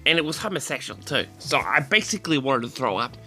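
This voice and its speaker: Animated man, gravelly and theatrical. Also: mid-range voice, faint music behind. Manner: theatrical, indignant, with dramatic pauses. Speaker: vlog host. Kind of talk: storytelling monologue addressed to the audience.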